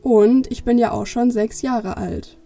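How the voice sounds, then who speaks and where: A woman's voice: fairly high pitch, a little slow, creaky, warm tone, faint music behind. A woman playing a confident six-year-old girl in a children's Christmas audio play, eager and matter-of-fact.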